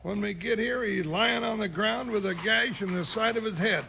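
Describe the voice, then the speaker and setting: Casting: sleepy cowboy sidekick, high-pitched and croaky, homespun, vintage radio western with noisy sound. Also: male voice, normal speed, bright, forceful tone, heavily acted. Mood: puzzled, earnest. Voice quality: creaky, gravelly high voice. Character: sleepy, folksy cowboy sidekick, good-natured worrier. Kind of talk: 1950s radio western acting. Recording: noisy old radio recording.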